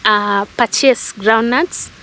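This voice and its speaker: Kenyan woman reminiscing, fairly high voice. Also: slow, pushed and bright, slight background noise. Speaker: vlogger. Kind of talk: casual storytelling to camera.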